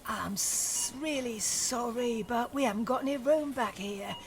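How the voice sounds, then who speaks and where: A woman's voice: fairly high pitch, measured pace, slight background noise. An actress playing Beryl, a chatty middle-aged shop cashier in her fifties with an Essex accent, nosy but friendly. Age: 50s. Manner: gossipy, chatty. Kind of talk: audio drama acting.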